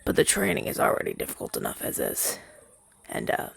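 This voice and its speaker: A man's voice: brisk, dry recording, close to an everyday voice. A young man playing a chatty, down-on-his-luck crow demon, once a witch's familiar, in a hesitant, stammering roleplay audio drama. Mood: warm, reminiscing.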